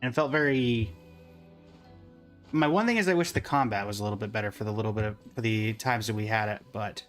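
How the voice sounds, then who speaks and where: A man's voice: on the low side, a little fast, a bit bright, soft background music. A man streaming a game with casual, musing commentary, thinking aloud as he plays.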